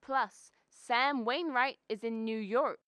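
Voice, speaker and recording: A young woman playing a brisk, matchmaking middle-aged mother in a radio drama, coaxing and gently scolding. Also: a little high, dry recording, studio quality. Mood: insistent, teasing. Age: young adult.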